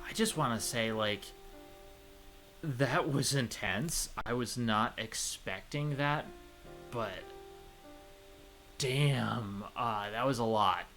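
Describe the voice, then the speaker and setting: A man streaming a visual novel, reading its story aloud and reacting in a chatty, expressive way. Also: medium pitch. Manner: chatty, expressive, swears casually. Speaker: let's play streamer.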